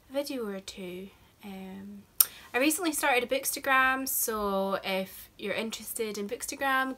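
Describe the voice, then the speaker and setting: A woman's voice: a little high, a bit bright. A young woman, chatting to camera as a book vlogger. She has a cold and sounds nasal, and she rambles with lots of fillers. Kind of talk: casual chat to camera.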